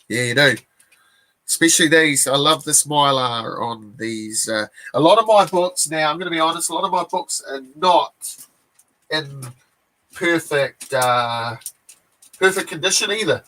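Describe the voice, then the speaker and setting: A man in his forties with a New Zealand accent, chatting casually on a live stream as a comic collector, rambling and relaxed with lots of fillers and restarts. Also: mid-range voice, low-quality recording.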